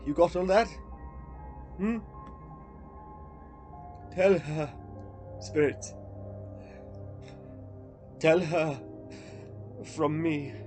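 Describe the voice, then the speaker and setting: A man acts out a repentant old grouch for a radio drama. His voice sits fairly high for a man and moves slowly, breaking into halting, emotional exclamations. Faint music plays behind him. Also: a little mellow. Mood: pleading, sorrowful. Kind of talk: radio play acting.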